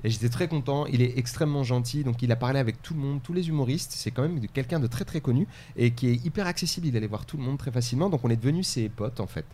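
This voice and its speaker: A man from France, a stand-up comedian, chatting fast and enthusiastically as a podcast guest with many fillers. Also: medium pitch, mellow. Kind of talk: casual podcast chat.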